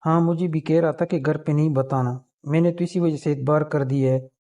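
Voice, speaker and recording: Mellow-voiced insistent young man acted by a man. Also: on the high side, steady tone, moderate pace, lightly acted. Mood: pleading, insistent. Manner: pleading, insistent, conversational. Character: insistent young man pressing friend's mother for money. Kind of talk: staged phone call, casual chat.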